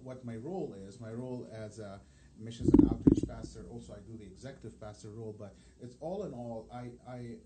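A man's voice: mid-range voice, brisk, very noisy. A man who is a native Arabic speaker, a missions pastor talking as an interview guest, hesitant with many fillers and restarts.

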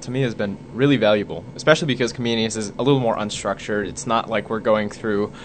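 A young man, a student, speaking haltingly with fillers in a group discussion. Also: on the low side. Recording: background noise.

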